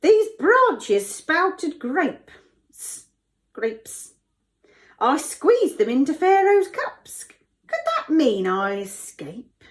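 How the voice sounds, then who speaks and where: A woman voicing an eager male royal cupbearer recounting things in a drawn-out, theatrical storybook manner. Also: high-pitched, big pitch swings, pitched above the performer's own voice, heavily acted. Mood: wondering.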